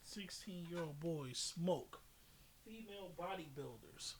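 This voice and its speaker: Male American podcast host, slightly high voice, casual opinionated sports talk, light background noise. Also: slow pace. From Chicago, United States. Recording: noisy amateur podcast audio.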